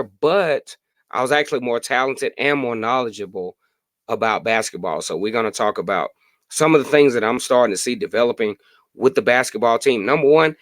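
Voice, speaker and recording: A hoarse man in his late thirties hosting a casual sports podcast live stream, chatting freely and answering viewer comments. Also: mid-range voice, no room sound, clean studio sound. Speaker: podcast host.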